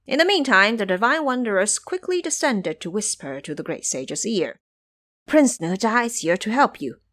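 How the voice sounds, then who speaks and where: A woman narrates a fantasy story with very lively, expressive intonation. Her delivery is scripted and dramatic, in the manner of an audio storybook. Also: mid-range voice.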